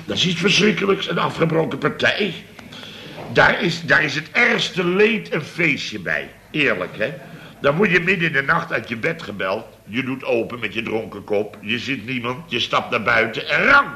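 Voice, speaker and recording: An actor playing a blustering, indignant boss of a building firm in a Dutch radio comedy, exclaiming and grumbling. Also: male voice, medium pitch, sing-song, moderate pace, pushed and bright, slight background noise, heavily acted. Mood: exasperated. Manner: exclamatory, grumbling, tags sentences with "hè" and "zeg".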